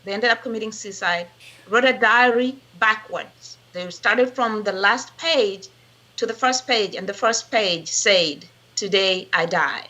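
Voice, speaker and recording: A woman's voice: medium pitch, a little slow, sharp tone. A woman from Kenya, speaking as a mental health professional, earnestly advising on a talk show. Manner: earnest and advising, with frequent restarts. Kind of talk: expert guest on a casual talk show.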